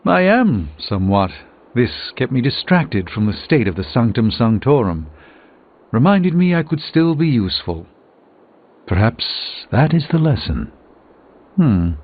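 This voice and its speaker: An actor playing an erudite, haughty middle-aged sorcerer superhero in a video game, speaking formally with dry, measured articulation. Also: male voice, mid-range voice, narrow, tinny audio. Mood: reflective. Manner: formal, dry, slightly pompous. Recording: band-limited game audio under music.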